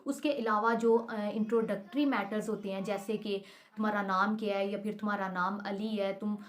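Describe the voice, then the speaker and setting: A woman's voice: mid-range voice, fairly even intonation, normal speed. A woman with a Pakistani accent explaining step by step in a lecture style, hesitating and repeating herself as she teaches. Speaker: law lecturer.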